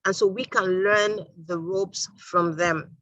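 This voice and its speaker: Lady hosting, bright upbeat voice, dry close sound. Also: medium pitch, takes it slowly, no room sound. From Nigeria. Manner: upbeat, lively hosting with fillers. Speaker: host. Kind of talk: live online interview.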